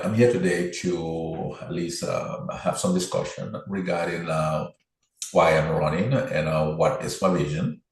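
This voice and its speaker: Man, very low voice, earnest and determined, heavy room echo. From Liberia. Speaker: political candidate. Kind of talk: interview answers, campaign pitch. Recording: very echoey room.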